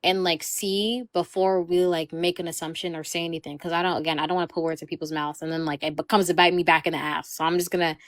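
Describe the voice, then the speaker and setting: Low-voiced woman, dry close sound. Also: flat delivery, quick pace, hardly any pauses, no room sound. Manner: rambling, heavy use of "like" and "you know". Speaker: podcast host. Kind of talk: casual conversation.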